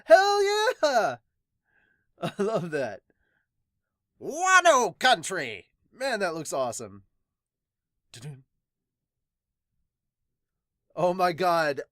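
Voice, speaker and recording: A man with a bright voice talks casually as a podcast host reacting to manga. He is animated and easily amused, exclaiming often, in a close, dry recording. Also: sits high, slow pace, pauses often, no echo.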